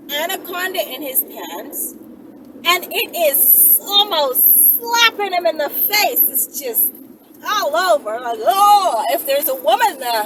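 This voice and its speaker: A woman with a high, swooping voice chats candidly to her viewers. Her delivery is conversational and wandering. She sounds some distance from the microphone. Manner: animated, rambling, conversational. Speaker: vlogger and channel host. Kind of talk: casual, candid monologue to viewers. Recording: distant mic, heavy vehicle-like background noise.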